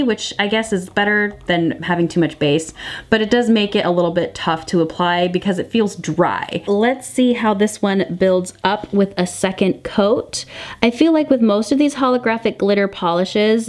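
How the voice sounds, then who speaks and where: A woman's voice: mid-range voice, darkish tone. A woman reviewer giving a casual product review and tutorial in a chatty, gushing way, repeating words for emphasis. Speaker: nail polish reviewer and vlogger.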